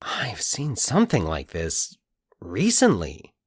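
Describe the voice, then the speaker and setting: Male narrator as brooding young man, baffled, musing to himself, swooping pitch, audio fiction, close dry recording. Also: wildly swooping pitch, slow pace, husky, no echo, full character voice. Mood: puzzled, musing. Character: Mike, baffled, brooding young man investigating eerie coincidences. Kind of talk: fiction podcast dialogue within narration.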